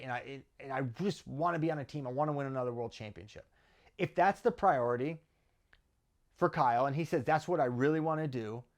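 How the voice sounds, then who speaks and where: A man with an American accent giving conversational sports analysis as a host, often posing questions and answering them himself. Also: a little high. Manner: argues through rhetorical questions and tag questions. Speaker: wrestling commentator and host.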